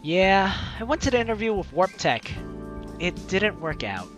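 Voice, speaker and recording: Man, high-pitched voice, very lively intonation, playful dramatic game narration, let's play host. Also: moderate pace, music in the background. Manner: animated, playful, dramatic reading. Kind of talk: reading a game story aloud with side commentary.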